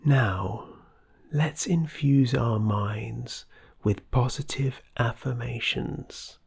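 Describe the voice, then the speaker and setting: A low-pitched meditation guide speaks softly and very slowly, leaving room between phrases. The voice is husky and breathy with a creaky edge, soothing and often close to a whisper. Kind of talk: scripted guided meditation narration.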